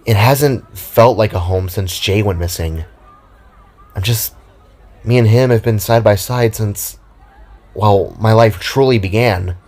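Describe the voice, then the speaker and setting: A man's voice: on the low side, a little slow, darkish tone, a faint crowd. A man voicing a sullen, weary twenty-year-old younger sister with a sulky, hesitant manner in a narrated story.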